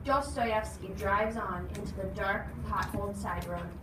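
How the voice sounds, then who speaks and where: A woman's voice: medium pitch, steady tone, a little slow. A woman reading a story aloud expressively, putting questions to her listeners. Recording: echoey noisy room, distant mic.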